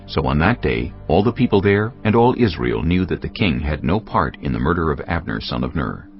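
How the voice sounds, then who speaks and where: A man narrating scripture as a dramatic story, measured and solemn. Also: rumbling low, lively intonation. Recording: slightly dull sound, music underneath. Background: background music.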